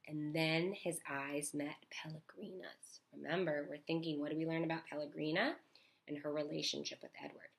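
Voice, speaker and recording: A woman's voice: deep voice, fairly bright tone. A woman teacher narrating a children's story expressively, with asides and guiding questions for her listeners. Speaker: elementary school teacher.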